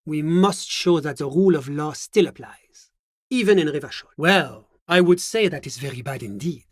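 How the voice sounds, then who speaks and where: An actor playing a genteel, smug bureaucrat in a video game, a devoted moralist who speaks in polite, officious, measured formal phrases. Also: male voice, fairly high pitch, slightly rough, dry recording, studio quality. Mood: prim, officious. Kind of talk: scripted game dialogue.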